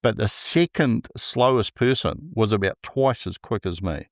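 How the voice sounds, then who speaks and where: A man with a New Zealand accent who speaks slowly and deliberately, with a stutter, in a relaxed interview as a podcast guest. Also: lowish voice, no echo, clean studio sound. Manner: slow, deliberate speech with a stutter. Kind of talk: casual interview conversation.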